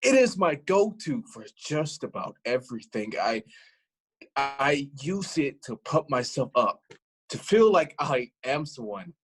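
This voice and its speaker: An actor playing a self-deprecating young romantic in a stage monologue, speaking in an earnest, halting, rambling way. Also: male voice, medium pitch, big pitch swings, a bit bright, breathy edge, exaggerated voice. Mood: wistful, eager. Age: young adult. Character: lovelorn, self-deprecating romantic young man, stubborn dreamer. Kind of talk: theatrical monologue to the audience.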